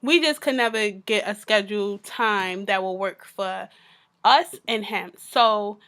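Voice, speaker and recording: A woman co-hosting a podcast in casual chat, her voice raspy from a sore throat, talking in a frank, laid-back way. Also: mid-range voice, a little slow, fairly bright tone. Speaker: podcast co-host.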